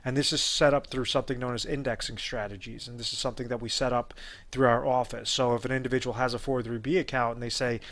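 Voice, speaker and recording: A man's voice: mid-range voice, fairly even intonation, fast, fairly bright tone. A man working as a retirement advisor who explains rollovers in an unscripted way, with frequent fillers and restarts. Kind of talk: explainer video talk.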